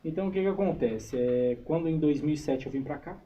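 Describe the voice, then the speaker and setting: A man's voice: on the high side, a little fast, mellow. A man from São Paulo state with a Brazilian accent, chatting casually as an interview guest and often adding little checking tags. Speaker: interview guest, army reservist and combatant. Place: radio studio. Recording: dull, noisy studio live stream.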